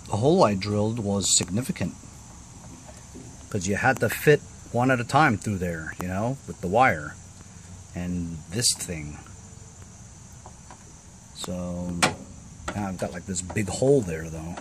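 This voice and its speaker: A man hosting a repair vlog, talking casually through hands-on work in a halting, thinking-aloud way with frequent "you know" tags. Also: deep voice, noisy background. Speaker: host of a DIY repair vlog. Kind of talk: casual hands-on tutorial narration.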